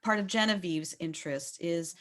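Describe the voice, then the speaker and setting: A woman's voice: fairly low pitch, moderate pace, fairly bright tone. A woman leading a book discussion in a conversational way, often repeating words as she forms a point. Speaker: discussion leader at a book talk.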